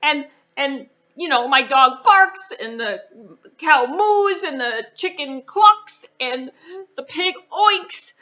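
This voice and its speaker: Woman playing an exasperated, whiny, high-pitched farmer. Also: expressive, sharp tone, muffled recording, heavily acted. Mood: exasperated pleading. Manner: pleading, flustered, drawn-out complaints. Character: exasperated, whiny male farmer desperate for quiet.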